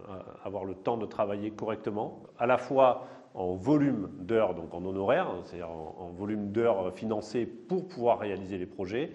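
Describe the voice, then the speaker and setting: A man's voice: lowish voice, moderate pace, a little mellow, lo-fi sound. A man giving explanatory interview testimony as a project consultant, with technical, methodical wording.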